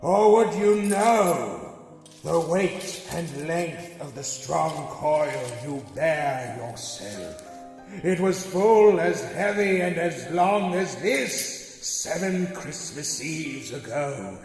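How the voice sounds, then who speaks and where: Man acting out a chained old ghost, wailing, drawn-out, slow, lively swoops in pitch, echoey hall reverb, faint music. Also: full character voice. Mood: solemn. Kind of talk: radio play acting.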